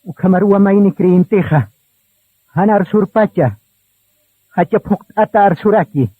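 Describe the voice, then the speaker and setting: A man's voice: high-pitched, a little slow, slightly rough, dry recording. A man narrating a radio drama in a solemn style, on a narrow phone-like recording.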